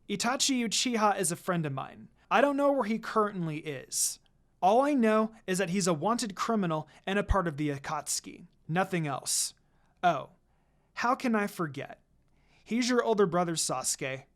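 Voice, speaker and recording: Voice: mid-range voice, lively intonation, moderate pace, natural voice. A narrator voicing a confident, teasing young ninja woman, smug and playful, in a read-aloud story. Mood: smug, taunting.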